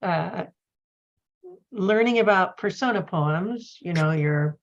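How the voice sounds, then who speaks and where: A woman's voice: lowish voice, takes it slowly. A woman moderating a panel conversation, reflective and a little hesitant, on a dull recording. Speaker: event moderator and poet.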